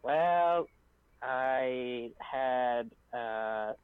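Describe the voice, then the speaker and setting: A man's voice: medium pitch, very slow, clean tone, dry recording. Man, casual livestream chat, rambling, narrow phone-like audio, dry. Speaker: video editor and voice actor.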